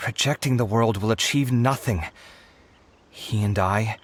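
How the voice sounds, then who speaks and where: A man's voice: on the low side, a little slow, slight background noise, lightly acted. A man voicing a proud, idealistic young scholar with earnest, emotional delivery in a dramatic video game style. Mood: quietly resolute.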